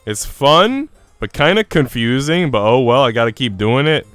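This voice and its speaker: A man's voice: mid-range voice, very animated, normal speed, a bit bright, no echo. A young adult man, chatting informally and slangily to viewers as a live streamer. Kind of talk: casual livestream chat with viewers. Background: music playing.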